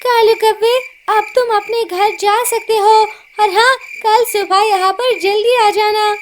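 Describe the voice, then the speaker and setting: A woman's voice: very high-pitched, fairly even intonation, unhurried, a little hiss or hum, pitched above the performer's own voice. A woman voicing an imperious, bossy female bird in a cartoon, commanding and exclamatory. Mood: bossy, demanding. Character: bossy, imperious female bird who thinks she rules. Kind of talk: Hindi cartoon voice acting.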